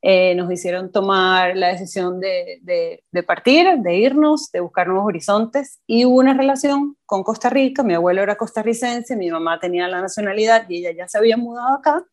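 A woman in her thirties from Venezuela with a Venezuelan accent, a podcast guest talking warmly and enthusiastically, with frequent hesitations. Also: mid-range voice, brisk, hardly any pauses. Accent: Venezuelan Spanish. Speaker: podcast guest, ice cream entrepreneur. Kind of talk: casual interview chat.

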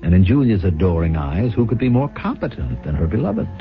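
An actor playing a cynical, scheming gold-digger husband in a radio drama, theatrical, smug and mock-tender. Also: male voice, low-pitched, sing-song, brisk, over music. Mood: wry narrating. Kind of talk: radio drama acting and first-person narration. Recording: dull lo-fi 1970s radio broadcast.

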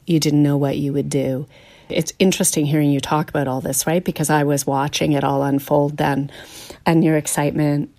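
Low-voiced woman interviewer, close and dry. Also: darkish tone, no echo. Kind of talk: conversational documentary interview.

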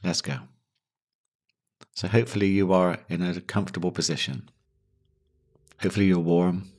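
A man guiding a yoga nidra meditation, giving gentle instructions slowly with long pauses. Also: sits low, flat delivery. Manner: slow, pausing, gentle instruction. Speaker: meditation guide.

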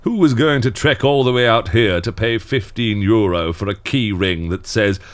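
An actor playing a glum, world-weary theme park Santa in an audio drama, grumbling sardonically and reluctantly. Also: male voice, lowish voice, normal speed, lightly acted. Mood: bitter, resigned. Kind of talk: scripted audio drama acting.

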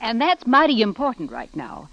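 A woman's voice: a little high, huge pitch swings, moderate pace, creaky, no room sound. A woman reading as a spokeswoman in a 1940s radio commercial style. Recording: dry, muffled old radio broadcast.

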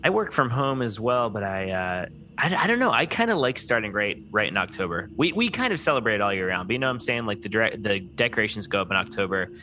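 A man co-hosting a casual podcast, teasing with deadpan jokes. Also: fairly low pitch, quick pace, hardly any pauses. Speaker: podcast co-host. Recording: noisy background hiss.

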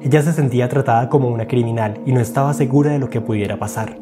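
A man's voice: mid-range voice, fast, over music. A man narrating a scripted documentary as its host, in a dramatic, literary storytelling manner.

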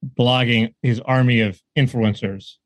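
A man's voice: mid-range voice, fairly even intonation, unhurried. A man with a mid-range voice talking politics in a wry, joking way and laughing between his points. The room is dry and close, with no echo around him. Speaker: podcast co-host. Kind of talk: casual political chat.

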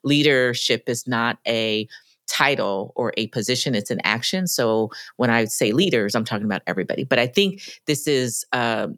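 A woman co-hosting a podcast, talking in an emphatic, lively way about workplace experiences. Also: very deep voice, normal speed, no room sound, clean studio sound. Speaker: podcast co-host. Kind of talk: conversational podcast discussion.

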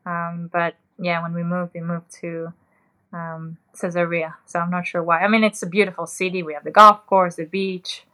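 A young woman from Belgium, a professional golfer being interviewed, answering in a relaxed, chatty way with many fillers. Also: fairly low pitch, moderate pace.

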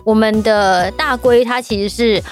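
A woman's voice: on the high side, fairly even intonation, a little slow. A woman with a Taiwanese Mandarin accent chatting casually and telling stories in a playful, teasing way. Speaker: podcast participant talking about BL audio drama dubbing. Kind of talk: casual chat. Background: music.

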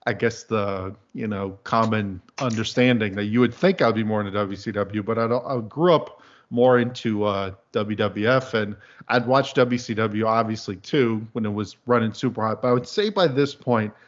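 A man in his thirties from the American Deep South, chatting casually as a podcast guest in a meandering, dryly humorous way. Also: normal speed. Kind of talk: casual chat. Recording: dull lo-fi podcast audio.

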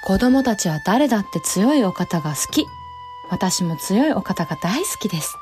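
A woman's voice: mid-range voice, big pitch swings, normal speed, darkish tone, faint music behind, lightly acted. A woman playing a spirited, forthright young samurai bride in a Japanese period audio drama, candid yet politely archaic in speech. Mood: admiring, forthright.

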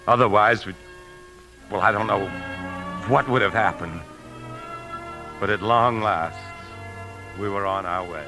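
A man's voice: on the low side, measured pace, pauses often, over music. An actor in a 1950s radio drama playing a driven, secretive husband, urgent and insistent as he speaks and narrates. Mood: tense narration. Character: tense, driven husband hiding a secret, desperate to escape.

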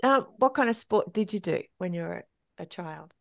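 A woman's voice: lowish voice, animated, moderate pace, creaky, no echo, clean studio sound. An Australian woman in middle age hosting a podcast, chatty and upbeat, laughing often in casual interview talk. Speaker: podcast host.

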